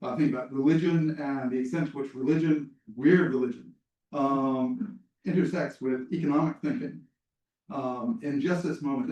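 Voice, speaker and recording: A man's voice: a little high, warm tone. A man in a seminar giving a thoughtful academic comment, with some hesitant fillers. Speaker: seminar participant. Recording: echoey room, far from mic.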